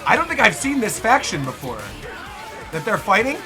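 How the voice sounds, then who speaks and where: A man hosting a casual reaction show, commenting on game trailers in an animated, exclaiming way with playful mock outrage. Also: high voice, moderate pace, music in the background, a crowd in the background.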